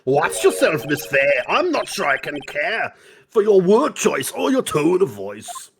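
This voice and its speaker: A man's voice: fairly high pitch, wildly swooping pitch, a little slow, gravelly, slight background noise, full character voice. A man voicing an elderly, pompous courtroom judge with a theatrical mixed European accent in a live game fan dub. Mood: stern. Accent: exaggerated mixed European. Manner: theatrical, formal.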